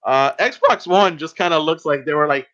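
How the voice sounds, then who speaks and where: A man hosts a relaxed chat show in a slightly raised voice. His phrasing is hesitant and stop-start. The sound is dull and a little lo-fi. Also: on the high side, moderate pace. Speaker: podcast host. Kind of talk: casual podcast chat.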